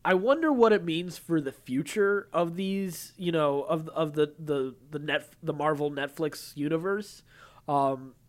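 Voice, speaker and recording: Man, fairly high voice, podcast host, news and casual chat, talkative, explanatory. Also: a little slow.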